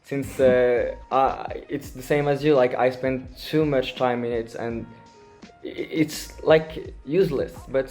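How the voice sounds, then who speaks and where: A man's voice: mid-range voice, steady tone, slow pace, a little mellow, soft background music. Hesitant Moroccan teenage guy chatting. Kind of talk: casual chat.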